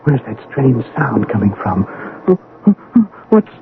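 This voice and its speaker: An American actor playing a proud young scientist, shaken and bewildered, with intense, halting delivery in a radio drama. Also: male voice, medium pitch, narrow, tinny audio, lightly acted. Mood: agitated. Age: young adult. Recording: noisy, band-limited old radio broadcast.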